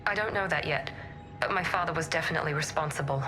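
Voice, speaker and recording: A woman's voice: low-pitched, fast, music in the background, close to an everyday voice. A woman playing a guarded, determined operative on the run in a video game, controlled and intense. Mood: tense, urgent.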